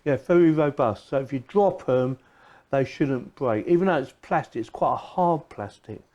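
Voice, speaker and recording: A man's voice: medium pitch, moderate pace, mellow, muffled recording, slight background noise. A man giving a casual, unscripted product review in a chatty way, often repeating phrases as he goes.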